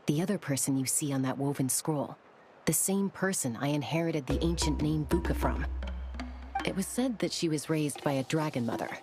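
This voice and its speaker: Casting: actress as serious female warrior storyteller, low voice, grave and measured, game dialogue over faint music. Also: natural voice. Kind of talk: video game voice acting.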